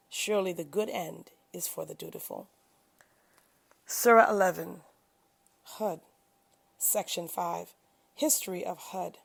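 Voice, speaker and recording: A woman reading scripture aloud in a solemn, deliberate and emphatic dramatic style. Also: lowish voice, vocal fry. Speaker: scripture reader.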